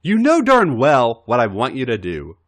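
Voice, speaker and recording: Male reader as exasperated smaller guy in story: raised pitch, folksy, whiny, bossy, wildly swinging intonation. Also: wildly swooping pitch, moderate pace. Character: smaller man, flustered, bossy, easily exasperated lover.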